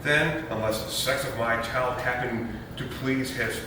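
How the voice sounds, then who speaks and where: A man's voice: steady tone, measured pace, close to an everyday voice. A man playing an anxious, indignant new father in a stage comedy, with a pompous, florid, self-pitying delivery. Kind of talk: stage comedy readers theater. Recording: roomy, distant, noisy hall recording with rumble.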